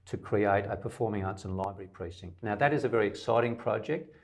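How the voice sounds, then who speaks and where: A man's voice: lowish voice, fast talker. An Australian man, a middle-aged independent candidate, answering interview questions emphatically in long lists, with some stammered restarts.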